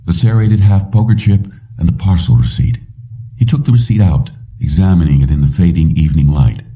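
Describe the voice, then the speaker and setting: Very deep-voiced male audiobook narrator, muffled sound. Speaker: audiobook reader. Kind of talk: scripted fiction narration. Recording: muffled, dull, distant-sounding.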